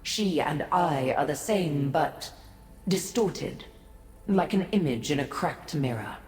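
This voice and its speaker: An actress playing an ancient, aloof godlike being in a fantasy game, speaking formally and with haughty, cryptic condescension. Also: female voice, low-pitched, animated, darkish tone, slight background noise. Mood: cryptic, solemn. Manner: measured, formal, condescending to mortals. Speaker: video game character. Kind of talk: scripted fantasy game dialogue. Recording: echoey, long reverb.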